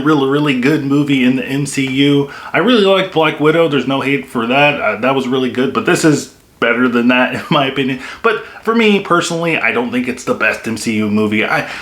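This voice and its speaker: A man's voice: medium pitch, brisk, hardly any pauses, fairly bright tone. A young man giving a casual, enthusiastic film review, with lots of "like" and "you know" fillers. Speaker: movie reviewer. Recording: echoey room.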